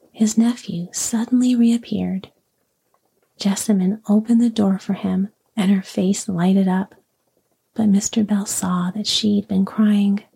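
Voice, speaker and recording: A woman whispers a bedtime story in a husky, breathy voice, slow and soothing. Her tone is dark and mellow, with a faint gravelly fry. She reads gently, as if helping someone drift off to sleep. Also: medium pitch.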